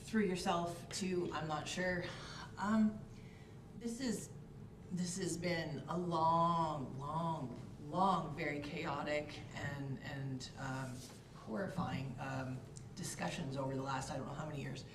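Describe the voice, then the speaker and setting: A hoarse woman councillor with a cold talks in a low-set voice, stumbling and restarting in a flustered way. She sits a little back from the mic in an echoey council chamber, with steady noise in the background. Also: recorded from a distance. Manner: halting, repeats herself, flustered. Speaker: town councillor. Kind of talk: council meeting debate.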